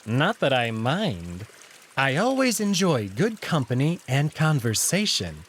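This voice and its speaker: A man's voice: mid-range voice, huge pitch swings, a little slow, a bit bright, a little hiss or hum, heavily acted. A man voicing a suave male jackal courtier in a visual novel, with polite, airy small talk that carries a hidden edge. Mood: feigned politeness.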